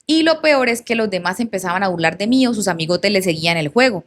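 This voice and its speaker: A woman's voice: mid-range voice, lively intonation, fast talker. Conversational woman telling a storytime. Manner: conversational, with asides to viewers. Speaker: content creator reading followers' stories. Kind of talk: storytime narration, reading a follower's first-person story.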